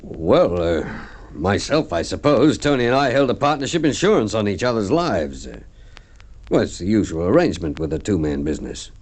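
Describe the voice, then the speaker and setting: A man's voice: fairly low pitch, very animated, moderate pace, a little mellow. A man playing a smug, suave company director with a glib, self-assured manner in a mid-century radio drama. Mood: shaken, plausible.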